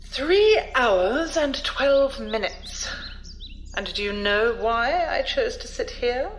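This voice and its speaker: A woman playing an imperious old noblewoman emissary in an audio drama, haughty, deliberate and cutting. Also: fairly high pitch, animated, slight background noise. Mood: haughty, displeased.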